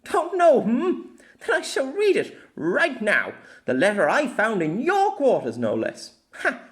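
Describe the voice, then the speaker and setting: Guy doing a snooty young fantasy lady, high voice, super theatrical and indignant, audiobook. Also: huge pitch swings, a little slow, a bit bright, full character voice. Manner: theatrical, accusing. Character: haughty, indignant young noble lady adventurer.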